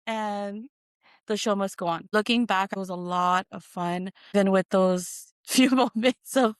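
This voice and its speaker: A woman's voice: mid-range voice, measured pace, clear, resonant tone, no echo, studio quality. A woman in her thirties from Hawaii and American Samoa, sharing personal stories casually and expressively as an interview guest. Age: 30s. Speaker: interview guest, content creator and performer.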